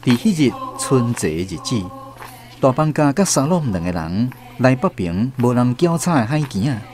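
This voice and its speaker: Male narrator, Taiwanese accent, very lively intonation, dramatic radio storytelling over clear background music. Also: mid-range voice, moderate pace.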